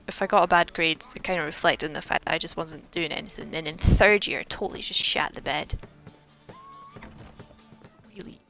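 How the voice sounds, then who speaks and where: A woman's voice: medium pitch, sharp tone. A young woman in her twenties, rambling casually over a video game she plays. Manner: rambling, chatty, self-mocking. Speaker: game streamer. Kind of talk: casual commentary over gameplay.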